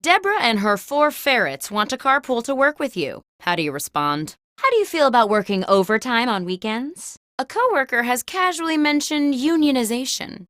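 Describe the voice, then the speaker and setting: A woman playing a video game host who poses workplace questions like an office interviewer, in scripted, clearly enunciated prompts. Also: high voice, big pitch swings, moderate pace, few pauses, a bit bright, no echo, studio quality. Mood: composed, quizzical.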